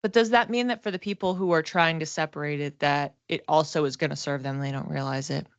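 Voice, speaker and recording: A woman giving a casual livestream psychic reading, talking to viewers conversationally and thinking aloud in halting phrases. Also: deep voice, steady tone, no room sound, lo-fi sound. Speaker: psychic reader and class teacher.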